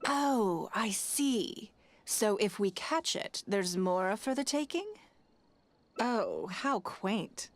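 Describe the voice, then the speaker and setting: A woman's voice: mid-range voice, sing-song, slow, no room sound. Poised, elegant wealthy businesswoman. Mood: amused, teasing. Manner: composed, elegant, teasing. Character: wealthy, poised businesswoman, confident, playful and shrewd. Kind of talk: video game character dialogue.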